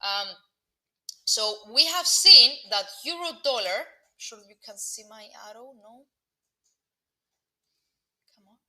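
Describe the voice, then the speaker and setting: A young Greek Cypriot woman walks viewers through live market commentary in a very bright, crisp-toned voice. She hesitates often, filling gaps with ums and restarting phrases, and breaks off to address the audience directly. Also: a little high, lively intonation, slow, long pauses, poor audio. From Cyprus. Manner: hesitant, many fillers and restarts, chatty asides to viewers. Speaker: market analyst presenting a live webinar.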